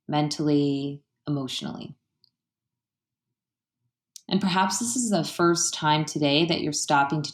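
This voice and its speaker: A woman leading a guided meditation in a gentle, soothing, unhurried way, leaving pauses between phrases. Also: low voice. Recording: roomy, echoey sound.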